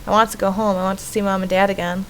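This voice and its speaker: A woman's voice: mid-range voice, flat delivery, rapid-fire, lightly acted, low-quality recording. A woman voicing an earnest, pleading and distrustful young girl in a horror visual novel. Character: pleading, distrustful young girl, little sister trapped in painting. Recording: faint background noise.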